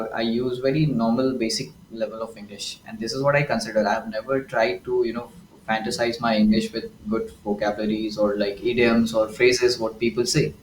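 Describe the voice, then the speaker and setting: Indian man, casual reflective talk, wordy, echoey room, faint background hum. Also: mid-range voice. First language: Hindi. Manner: reflective, wordy, many restarts. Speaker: host. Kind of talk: casual conversation.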